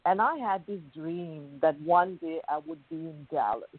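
A woman's voice: sits low, normal speed, no echo. A woman from Thailand, a guest on a radio interview, telling her story in a chatty, enthusiastic way. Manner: chatty, enthusiastic, frequent "you know". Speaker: guest, bridal designer. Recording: phone-like narrow band.

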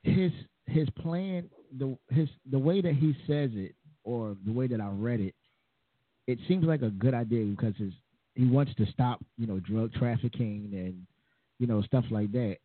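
A pastor in his forties hosting a casual talk show, chatty and quick to laugh, on a tinny, dry recording. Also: mid-range voice, no echo. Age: 40s. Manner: chatty, laughs often, repeats phrases. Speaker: pastor and host. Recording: tinny phone-like, dry sound.

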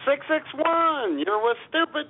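A man's voice: extremely high pitch, animated, measured pace. A man with an American accent co-hosting an internet radio show in casual, comic chat. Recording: thin, phone-like internet radio audio.

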